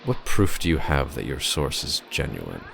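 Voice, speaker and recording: A man playing an aloof, self-assured doctor of science in an audio drama, speaking in a measured, pedantic and guarded way. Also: very deep voice, sing-song, gravelly, slight background noise, heavily acted. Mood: guarded, probing.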